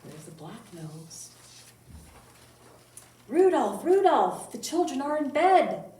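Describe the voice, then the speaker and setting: Female children's storytime host, unhurried and playful, sing-song delivery, recorded at a distance in a roomy space. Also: high-pitched, very animated, mellow. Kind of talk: children's storytime talk, reciting poems and singing. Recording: roomy echo, distant mic, faint noise.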